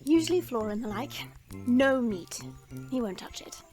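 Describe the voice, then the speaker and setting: A woman's voice: a little high, expressive, normal speed, darkish tone, soft background music, natural voice. A woman playing a haughty, scolding young dragon princess in an audio roleplay, with formal, imperious phrasing and an easily exasperated temper. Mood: dry, resigned.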